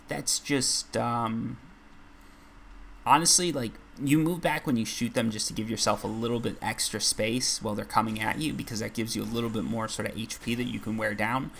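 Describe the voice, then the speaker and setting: A man hosting a gaming channel, chatting casually and rambling with self-deprecating asides as he plays, his voice a little raspy. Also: mid-range voice, fairly bright tone, slight background noise. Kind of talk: casual gameplay commentary.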